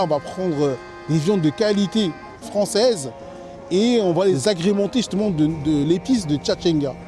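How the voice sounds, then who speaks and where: A man from France giving a personal testimony in an interview as a food truck chef, speaking haltingly and repeating words as he searches for phrasing. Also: sits high.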